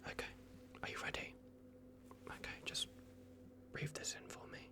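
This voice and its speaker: A performer voicing a soft-spoken, bumbling vampire doctor in an ASMR roleplay, whispering hesitantly and repeating reassurances. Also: moderate pace, natural voice. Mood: calm, instructing. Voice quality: whispered, creaky. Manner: hushed, hesitant, repetitive, reassuring.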